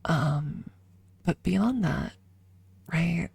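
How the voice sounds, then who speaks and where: A woman streaming ASMR, chatting casually and haltingly in a soft, breathy voice that often drops into a whisper, close to the microphone. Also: on the low side, animated, dry recording. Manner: halting, informal, drifting between whisper and soft talk.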